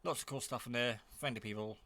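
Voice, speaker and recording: A man giving a casual, off-the-cuff product demo, rambling in a low-key way as he thinks aloud. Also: fast, bright, forceful tone. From England. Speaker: hobbyist reviewer.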